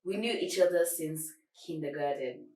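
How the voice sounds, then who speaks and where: A young woman chats on a podcast in an animated, rambling way, often breaking into laughter. Her voice sits a little low. The sound is roomy and set back from the mic. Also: moderate pace. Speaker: podcast co-host. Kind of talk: casual chat. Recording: echoey room, far from mic.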